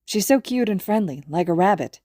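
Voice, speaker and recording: A woman voicing a cheerful, earnest teenage boy in an audiobook, with an eager and expressive delivery. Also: mid-range voice, fairly quick, no room sound, studio quality, lightly acted.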